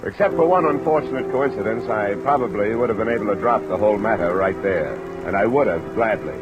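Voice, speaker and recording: A man's voice: fairly low pitch, brisk, dull audio, loud music behind the voice, natural voice. An American man playing a tough, sardonic insurance investigator in a 1940s radio detective drama. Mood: dry narration. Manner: hard-boiled, curt, sarcastic. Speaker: lead actor and narrator. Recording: old radio recording under steady rain sound.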